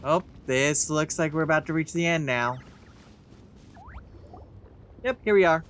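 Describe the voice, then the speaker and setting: A man's voice: fairly high pitch, unhurried, a bit bright, slight background noise. A man giving casual let's play commentary as he reacts to a video game.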